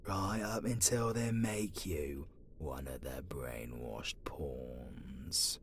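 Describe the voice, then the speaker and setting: Male actor as captive demon for fantasy audio drama: deep, slow, half-whispered, sardonic and defiant. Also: creaky, a little hiss or hum, exaggerated voice. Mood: suspicious, sardonic. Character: bitter, defiant, foul-mouthed male demon prisoner. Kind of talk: fantasy ASMR audio roleplay acting.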